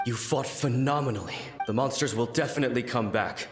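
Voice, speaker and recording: An actor playing a loyal, dutiful samurai soldier in an anime video game, formal and earnest. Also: male voice, mid-range voice, fairly quick, lightly acted. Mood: dutiful, resolute. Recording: echoey, game music behind.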